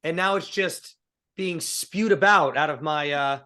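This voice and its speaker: A chatty male co-host with a slightly high voice, rough and a bit under the weather from a cold. He talks casually and laughs often, connecting ideas as he goes. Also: measured pace. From Italian-American heritage. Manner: chatty, laughing, thinks out loud. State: sick, voice rough. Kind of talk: casual aftershow chat.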